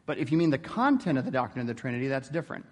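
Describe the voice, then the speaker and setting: A man's voice: mid-range voice, big pitch swings, racing. Man lecturing in a reverberant hall. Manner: conversational, asks rhetorical questions. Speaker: theology lecturer. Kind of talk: informal classroom lecture.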